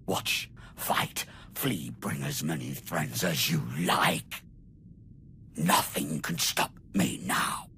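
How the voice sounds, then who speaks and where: A man playing an arrogant dark tyrant in a video game, theatrical and contemptuous, with a deep gravelly voice. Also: slow pace, full character voice. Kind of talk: dramatic video game acting. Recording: clear noise behind the voice.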